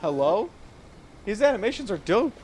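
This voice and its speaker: A man's voice: sits high, very animated, moderate pace, a little hiss or hum. A man giving casual let's play commentary, slangy and reactive, talking back to the game. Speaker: gaming content creator. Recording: game music loud behind the voice. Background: video game music.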